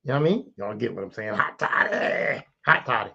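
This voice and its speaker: A man hosting his own podcast, raspy this morning, talking casually and with plenty of swearing straight to his listeners. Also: mid-range voice, brisk, muffled recording. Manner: profane, animated, talks straight to listeners. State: raspy, clearing throat. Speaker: podcast host. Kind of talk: casual solo podcast rant.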